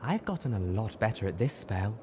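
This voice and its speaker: A man's voice: on the low side, big pitch swings, fairly quick, dark tone, a little hiss or hum. A man voicing a poised, caring older female pony who speaks in a careful, slightly snarky way. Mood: cautioning.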